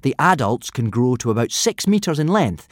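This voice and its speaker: A man's voice: mid-range voice, very animated, normal speed, dry recording, clean studio sound, pitched above the performer's own voice, lightly acted. A man voicing an eager, clever young Viking boy who explains things earnestly and precisely.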